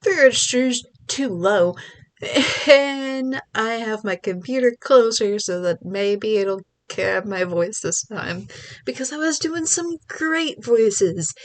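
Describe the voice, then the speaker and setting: Young woman, stuffy congested voice, let's-play host, chatty, rambling, slow pace. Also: fairly high pitch. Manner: chatty, rambling, self-deprecating. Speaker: game streamer. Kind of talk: casual let's-play commentary.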